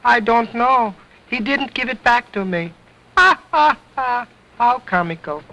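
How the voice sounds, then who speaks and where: A man's voice: very high voice, animated, a little slow, bright, forceful tone, voice pushed up, full character voice. A man voicing his cheeky ventriloquist dummy, a fresh-mouthed little boy who talks back in broken English. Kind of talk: vaudeville ventriloquist routine. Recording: dull lo-fi old recording.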